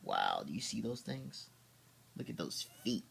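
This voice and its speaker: A man reads a bedtime storybook to his children in a bright, forceful voice. His delivery is very animated and unhurried, leaning hard on key words and slipping into chatty asides. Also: on the low side, gravelly, husky. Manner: theatrical, drawn-out emphasis on key words.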